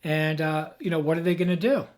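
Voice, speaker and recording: Man, slightly high voice, hoarse, emphatic, rambling with restarts, tech host. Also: a little fast, a little mellow. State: hoarse, has lost his voice. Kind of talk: off-the-cuff explainer.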